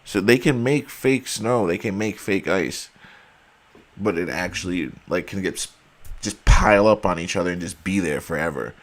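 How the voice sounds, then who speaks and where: A man's voice: deep voice, moderate pace. A man talking casually and emphatically to his viewers, saying he is losing his voice. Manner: emphatic, argumentative, addresses viewers as guys. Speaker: video host.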